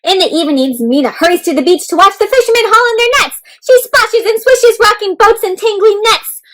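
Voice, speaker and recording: A woman reading a picture book aloud to children, expressive and full of questions, exclamations and little sound effects. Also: very high-pitched, fairly quick. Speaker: children's read-aloud storyteller.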